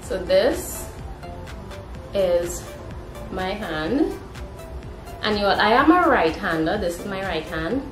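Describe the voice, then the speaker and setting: A woman's voice: medium pitch, lively intonation, slow pace, pauses often. A young woman with a Trinidadian accent, chatting informally to camera as a vlogger. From Trinidad, born in Guyana. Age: young adult. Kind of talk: casual talking-to-camera vlog. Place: indoor room at home. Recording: roomy echo, music behind. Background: background music.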